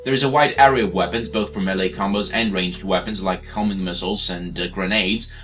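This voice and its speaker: A man whose first language is Greek, reading a scripted game review in a steady, opinionated, matter-of-fact way. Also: sits low, brisk, noisy background. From Greece. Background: music.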